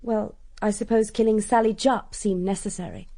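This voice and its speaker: Candid, mellow-voiced upper-class Englishwoman played by an actress. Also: mid-range voice, steady tone, moderate pace, natural voice. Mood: frank, challenging. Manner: frank, probing, cool. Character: candid, strong-willed upper-class young woman, quietly challenging. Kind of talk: radio drama dialogue.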